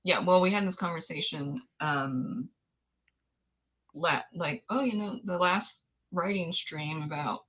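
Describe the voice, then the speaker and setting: A woman with a raspy voice, chatting casually and laughing often as she hosts a book release livestream. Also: fairly low pitch, measured pace, fairly bright tone, muffled recording. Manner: giggly, chatty, exclaims often. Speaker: author hosting a book release stream.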